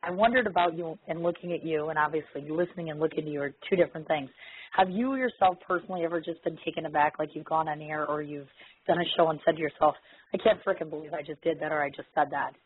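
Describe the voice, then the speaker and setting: A woman hosting a casual, chatty internet radio interview, her voice hoarse and husky from laryngitis. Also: deep voice, fast, a little hiss or hum. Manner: chatty, informal. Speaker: internet radio show host. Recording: muffled, lo-fi radio connection.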